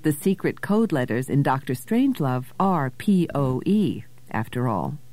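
A woman narrator delivering scripted literary commentary in a measured, literate way. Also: very deep voice, sing-song, darkish tone. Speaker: narrator introducing poetry.

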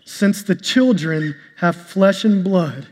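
A male pastor preaching a sermon to his congregation, with an even delivery he describes as monotonous. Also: high-pitched. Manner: calls himself monotonous and boring-voiced; preaching, shifts to a soft, slow prayer. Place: church sanctuary. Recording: long hall reverb with soft instrumental music.

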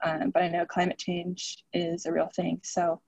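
A woman's voice: fairly low pitch, monotone, unhurried, gravelly, darkish tone, slightly rough, no room sound, highs cut off. A woman in her twenties from Oregon, a student athlete chatting upbeat as a podcast guest, laughing often. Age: 20s. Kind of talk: podcast interview.

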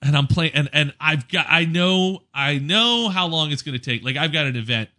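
A man who works as a DJ, chatting casually and telling stories in an animated way, acting out exchanges. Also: a little high, normal speed. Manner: animated storyteller, acts out exchanges. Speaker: event DJ. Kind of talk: casual anecdotal chat.

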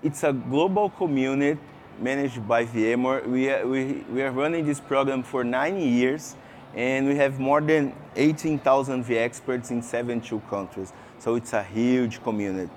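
A man's voice: medium pitch, darkish tone, a little hiss or hum. A man from Brazil with a Brazilian accent, giving a conference talk. He talks with lots of fillers and restarts and asks the audience questions. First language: Portuguese. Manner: halting, many fillers and restarts. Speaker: conference speaker. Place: conference session room.

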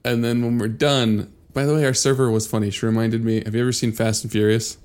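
A man chatting casually as a podcast co-host, telling animated anecdotes with easy swearing. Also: medium pitch, fast, clean tone. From born in Venezuela, left in infancy.

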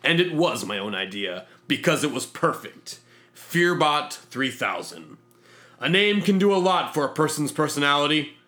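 A man's voice: a little high, sing-song, normal speed, pushed and bright. A man narrating a comic sci-fi story in a pompous, self-important, theatrical manner. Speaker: narrator reading a fictional diary.

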